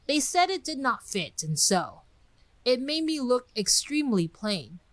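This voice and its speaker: Querulous and blunt, this is an old gray wasp from a storybook, acted out by a woman. The pitch swings about in a lively way as he grumbles in plain rustic speech, captured close and dry. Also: unhurried, no room sound. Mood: plaintive, reciting. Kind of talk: dramatic storybook reading with recited verse.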